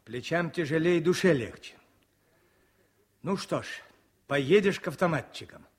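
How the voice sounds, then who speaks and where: A wartime commissar in a radio play, gruff but fatherly. The voice is rough and husky, with lively swings of pitch and an earnest, caring manner. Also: measured pace. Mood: firm. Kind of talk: Soviet radio drama acting.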